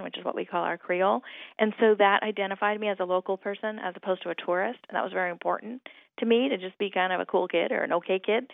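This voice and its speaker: A woman's voice: mid-range voice, vocal fry, dry recording. A woman from Hawaii, a writer and radio guest, talking in an articulate, thoughtful way with a few repeated starts, heard over a phone line. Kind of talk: reflective radio conversation.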